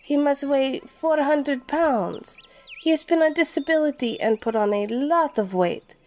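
A woman's voice: sits high, measured pace, darkish tone, soft background music. An actress playing a worried, earnest neighbor, a busy mother, in an audio drama. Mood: confiding.

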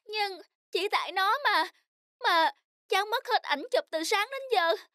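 A woman voicing an earnest, touchy young girl in a cartoon, an expressive big sister who gets whiny when crossed. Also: extremely high pitch, unhurried, no echo, studio quality, full character voice. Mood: upset. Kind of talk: cartoon dub.

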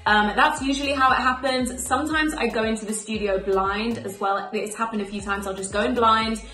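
A woman's voice: on the high side, steady tone, pushed and bright, faint music behind. Young woman who grew up in spain chatting about her songs, bubbly, echoey little room. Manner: upbeat, chatty, lists things quickly. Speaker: emerging singer interviewed. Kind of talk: casual to-camera answers. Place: small bright room indoors.

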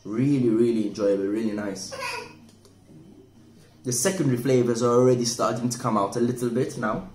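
A man in his forties, casually reviewing a wine at a table indoors, relaxed and thoughtful, often repeating words as he restarts. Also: medium pitch, a little fast, pauses often. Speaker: wine shop manager reviewing wine. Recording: echoey room with faint noise.

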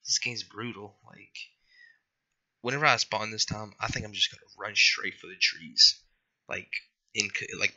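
A man's voice: fairly low pitch, bright, forceful tone. A young man with a scratchy voice after being sick, rambling and apologizing through casual gameplay commentary. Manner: rambling, apologetic, lots of fillers. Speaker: gaming youtuber.

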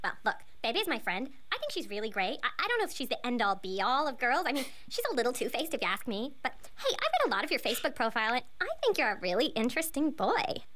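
High, dramatic cartoon schoolgirl. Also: fast talker, hardly any pauses. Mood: coy. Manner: girlish, catty, excitable. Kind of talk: animated comedy game voice acting.

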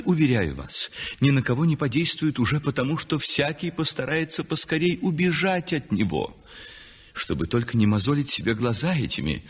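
A husky, animated, self-satisfied painter. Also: male voice, mid-range voice, exaggerated voice. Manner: declamatory, rhetorical, self-assured. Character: self-satisfied aesthete male landscape painter, dismissive of ugly art. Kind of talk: 1960s radio drama monologue. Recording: muffled 1960s radio recording, long reverb.

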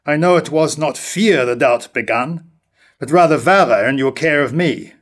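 A man's voice: fairly high pitch, normal speed, a bit bright. An older man playing a proud, defiant nobleman in a staged reading of early verse drama. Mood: defiant, reassuring. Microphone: stand mic at lectern.

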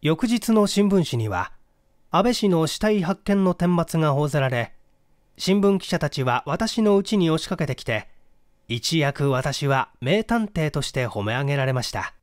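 Male audiobook narrator, fairly high voice, expressive and measured literary delivery, dry close-miked sound. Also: dry recording. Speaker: audiobook reader, stage actor. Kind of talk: dramatic scripted narration of a classic detective story.

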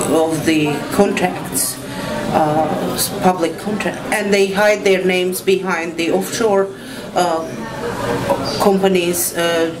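A woman in her thirties, an investigative journalist from Azerbaijan, answering interview questions haltingly with many fillers. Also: on the low side, rough recording. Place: indoor room, seated.

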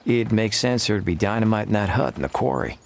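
An actor playing a courteous, laid-back cowboy gunslinger in a western video game, plainspoken and gruff. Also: male voice, lowish voice, quick pace, a little hiss or hum. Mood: easygoing. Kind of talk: dramatic video game acting.

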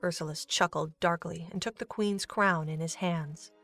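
A woman's voice: fairly low pitch, fairly even intonation, moderate pace, fairly bright tone. A woman narrating a scripted dramatic story as the narrator of an audio drama. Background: music.

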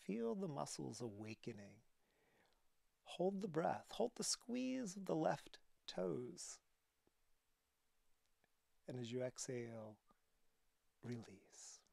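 A man leading a guided relaxation as a yoga teacher, speaking calmly and softly with breathy phrases and long pauses. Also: a little high, no echo. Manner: calm, soothing instruction.

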